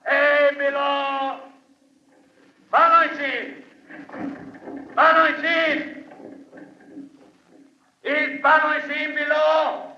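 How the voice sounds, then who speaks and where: An actor playing an alarmed sailor in a 1930s radio drama, hailing in drawn-out calls. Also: male voice, very high voice, level tone, pushed and bright, heavily acted. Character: alarmed male sailor calling out aboard ship. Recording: echoey, dull 1930s radio recording.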